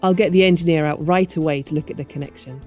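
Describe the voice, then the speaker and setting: Actress as officious female manager for radio play: mellow mid voice, defensive, businesslike, faint music behind. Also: low-pitched, fairly even intonation, fast talker, lightly acted. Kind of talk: scripted audio drama.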